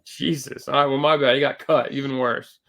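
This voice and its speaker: A man co-hosting a casual sports podcast, talking loosely with fillers and self-corrections, a little hoarse from losing his voice. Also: medium pitch, fairly even intonation, a little fast, sharp tone. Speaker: podcast co-host.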